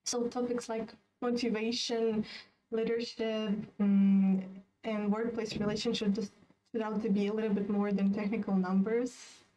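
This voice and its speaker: Mellow young woman from Kazakhstan, distant. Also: medium pitch, level tone, slight background noise. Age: young adult. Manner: thoughtful, with drawn-out hums. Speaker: student panelist. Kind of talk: casual webinar discussion. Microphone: video call.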